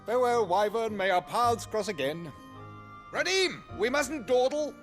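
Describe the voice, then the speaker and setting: An actor playing a pompous, theatrical lord in a video game cutscene, speaking in a grandiose, declamatory manner. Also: male voice, very high voice, expressive, measured pace, soft background music. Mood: grand farewell. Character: pompous, theatrical male lord bidding farewell.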